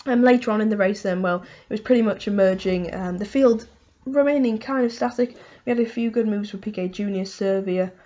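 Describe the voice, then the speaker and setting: A woman with a hoarse voice from a cold, hosting a casual motorsport race review and rambling through it with lots of "um" and "you know". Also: mid-range voice, fairly quick, few pauses, mellow, muffled recording. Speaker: motorsport video host.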